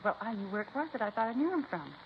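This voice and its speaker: A woman's voice: on the high side, very animated, rapid-fire. An American woman playing a chatty, self-assured supermarket cashier in a 1950s radio drama, folksy and emphatic, repeating herself. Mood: eager, certain. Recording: muffled, hissy 1950s radio recording.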